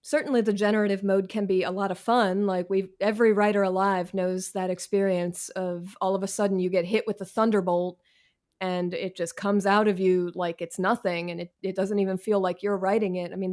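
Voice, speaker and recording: A woman's voice: mid-range voice, flat delivery, fairly quick, a little mellow. A woman answering interview questions as a writer, candid and self-deprecating, with slangy, conversational phrasing. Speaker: guest, professional writer.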